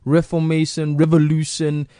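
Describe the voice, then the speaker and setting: A young man hosting a casual radio talk show in a studio, chatty and relaxed with plenty of fillers. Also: a little high, moderate pace, dry recording.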